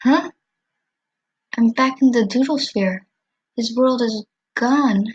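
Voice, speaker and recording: A woman's voice: fairly high pitch, slow, husky, muffled recording. A woman voicing an earnest, caring male hero in a dramatic fan comic dub. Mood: somber, reflective. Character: dimension-hopping artist hero, anxious and caring, male.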